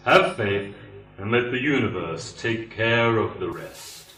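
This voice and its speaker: In a roomy echo, a man plays a devout keeper of prophecy in a sci-fi radio drama. He speaks solemnly and very slowly, in a lowish voice. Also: expressive, bright, forceful tone, heavily acted. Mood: solemn faith. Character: solemn prophecy-quoting cult elder, calm and devout. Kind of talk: amateur sci-fi radio play acting. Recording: echoey, distant from the mic.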